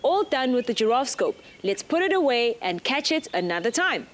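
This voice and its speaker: A woman's voice: a little high, big pitch swings, normal speed, noisy background. A woman playing a cheerful, encouraging friend to young children, presenting in simple, upbeat phrases. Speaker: children's show presenter character.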